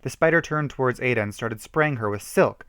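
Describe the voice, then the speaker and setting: A man reads a horror story aloud in an amateur, theatrical way. His voice sits at a middle pitch, and the sound is dry and close, with almost no room on it. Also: brisk, a bit bright, smooth, dry recording. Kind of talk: amateur dramatic reading of a homemade horror story.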